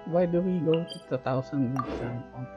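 A man's voice: a little high, normal speed, lo-fi sound. A man streaming a game, giving casual commentary as he plays. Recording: game music behind.

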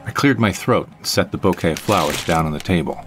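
Man acting a deep-voiced brooding, vengeful narrator. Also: brisk, over music, natural voice. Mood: bitter, bewildered. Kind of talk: first-person audio drama narration.

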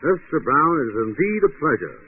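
Pompous efficiency expert, tinny old recording. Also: male voice, fairly high pitch, sing-song, fast. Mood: formal, courteous. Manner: pompous, formal, long-winded. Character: pompous, wordy, self-assured male efficiency expert. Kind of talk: 1920s radio comedy acting. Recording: tinny, noisy 1920s radio recording.

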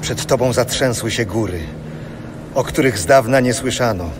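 An actor playing a solemn prophet pleading with God in a dramatized audio Bible. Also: male voice, mid-range voice, moderate pace, background noise, lightly acted. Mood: fervent pleading. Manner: solemn, beseeching delivery. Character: pleading prophet lamenting his people's sins to God. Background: music.

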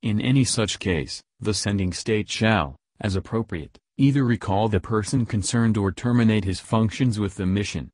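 A man reads a legal text aloud at an even, measured pace, clause by clause. His voice sits on the lower side. The recording is dry and close, with no room echo. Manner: steady, measured, enunciating each clause.

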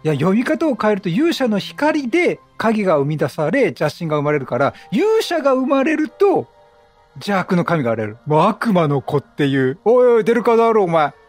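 A man giving chatty, casual, reactive commentary on a video game, often repeating words in quick bursts. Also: high voice, sing-song. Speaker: video game let's-play host. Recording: game music under the voice. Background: video game music and dialogue.

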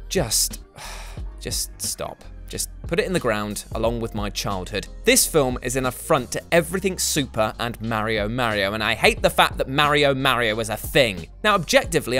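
Animated British man presenting over music. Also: on the high side. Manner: exaggerated, sarcastic, emphatic. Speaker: video list presenter. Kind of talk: scripted countdown commentary. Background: background music.

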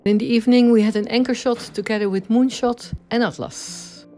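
A woman's voice: on the high side, steady tone, a little fast. Woman narrating a sailing vlog. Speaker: sailing vlogger. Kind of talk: voice-over narration. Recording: music under voice-over.

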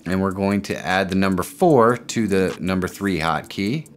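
A man hosting an informal, step-by-step software tutorial. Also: low voice, normal speed, soft background music. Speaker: tutorial host. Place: small home studio room.

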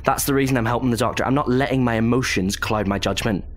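A man's voice: mid-range voice, quick pace, natural voice. A man playing an earnest, respectful soldier with a hesitant, polite manner in an amateur audio drama. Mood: earnest defiance. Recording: faint background ambience noise.

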